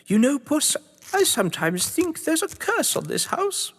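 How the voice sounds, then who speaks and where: A man's voice: extremely high pitch, huge pitch swings, unhurried, pitched above the performer's own voice, exaggerated voice. A man voicing a timid, silly old woman, fretting and cooing to a cat in an audio drama. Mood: fearful, cooing. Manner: fretful, cooing. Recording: long hall-like reverb.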